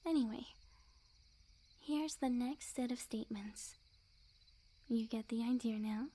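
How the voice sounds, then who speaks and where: A woman voicing a sweet, obsessively lovestruck, smug young witch in an intimate ASMR roleplay, speaking in a soft breathy near-whisper with quiet menace. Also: on the high side, unhurried. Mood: smug, teasing. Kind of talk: ASMR roleplay, intimate scripted monologue to the listener.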